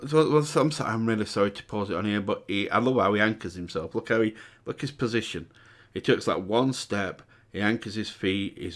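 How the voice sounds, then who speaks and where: A man with a cold and a croaky voice, hosting a music reaction video with casual, excitable commentary that jumps to high exclamations. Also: slight background noise. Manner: excitable, breaks into high exclamations and sung demonstrations. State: hoarse from a cold. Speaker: music reaction channel host.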